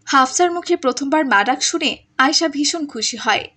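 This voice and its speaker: A woman's voice: high-pitched, animated, moderate pace, bright, forceful tone. A woman narrating a scripted story as a narrator.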